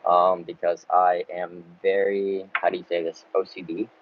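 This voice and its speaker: Low-voiced man. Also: normal speed. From Ukraine, grew up in the United States. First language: Russian. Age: 20s. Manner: relaxed, chatty, laughs between answers. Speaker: travel YouTuber. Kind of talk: live Q&A, reading out and answering chat comments. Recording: dull lo-fi sound with faint background noise.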